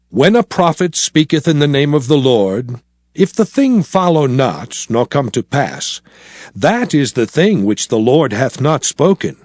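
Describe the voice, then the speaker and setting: An actor playing a solemn lawgiver prophet who commands his people in measured, declamatory archaic scripture. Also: a little high, a little mellow, dry recording. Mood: grave warning. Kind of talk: dramatized scripture reading.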